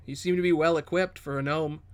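A man's voice: fairly high pitch, steady tone, a little fast, smooth, close to an everyday voice. A man voicing a nervous, chatty female kobold thief in a tabletop game, stammering and bargaining casually.

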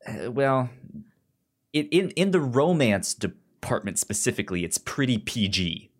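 Slow-paced man, halting and stammering. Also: mid-range voice, big pitch swings. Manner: halting, stammering with repeated words. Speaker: podcast co-host. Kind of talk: casual chat reviewing a drama series.

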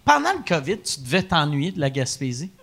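High, lively Québécois podcast host. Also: male voice, a little fast, vocal fry. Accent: Québécois French. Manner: animated questions, laughing and swearing. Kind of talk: casual podcast chat.

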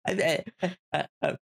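A man co-hosting a podcast in a casual movie discussion, speaking haltingly and stammering over repeated false starts. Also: fairly bright tone. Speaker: podcast co-host.

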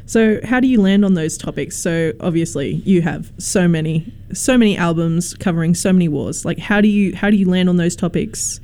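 A woman's voice: on the low side, moderate pace, few pauses, dark tone. A woman, a radio host asking casual interview questions.

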